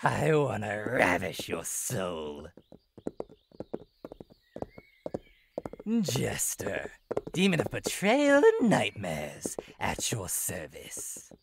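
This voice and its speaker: A woman's voice: very deep voice, wildly swooping pitch, slow pace, background noise, exaggerated voice. A woman voicing a playful, teasing and sinister clown-like jester demon in a horror visual novel game, with theatrical sing-song delivery. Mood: sinister, seductive. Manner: theatrical sing-song teasing, sudden swoops into breathy menace.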